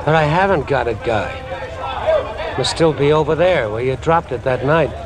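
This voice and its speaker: An actor playing an unhinged, taunting young murderer in a 1950s radio drama, with a theatrical manner. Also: male voice, mid-range voice, moderate pace, lightly acted, low-quality recording. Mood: weak, feigned innocence. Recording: old radio broadcast, crowd murmur behind.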